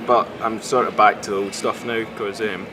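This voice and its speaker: A young man from Scotland, a student and stand-up comedian, chatting casually and in a low-key way in an interview. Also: flat delivery, moderate pace. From Scotland (Glasgow). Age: young adult. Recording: street noise outdoors.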